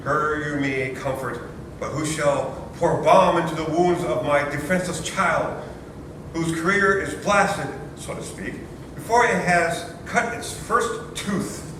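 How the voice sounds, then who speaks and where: A man's voice: a little high, a little slow, natural voice. A man playing a melodramatic, self-pitying nephew with a theatrical, sarcastic manner in a staged comedy reading. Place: large room or hall, distant microphone. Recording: very echoey room from far off, faint noise.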